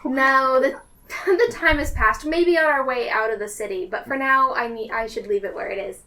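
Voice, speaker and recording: A woman's voice: high-pitched, normal speed, bright, forceful tone. A woman chatting casually and improvising as a player in a tabletop roleplaying game. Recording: distant mic with faint noise.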